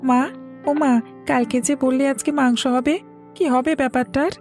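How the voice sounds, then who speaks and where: A woman's voice: high-pitched, steady tone, moderate pace, darkish tone, soft background music. A woman voicing a stubborn, wheedling little boy in a cartoon, whiny and insistent. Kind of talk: cartoon voice acting.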